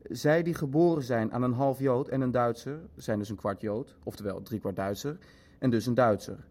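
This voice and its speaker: A man's voice: mid-range voice, normal speed, darkish tone, lightly acted. Male official, acted, middle register, musing and conversational; a pragmatic, cold Nazi functionary for naturalistic radio drama. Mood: musing, matter-of-fact. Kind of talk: Dutch audio drama acting, naturalistic meeting dialogue.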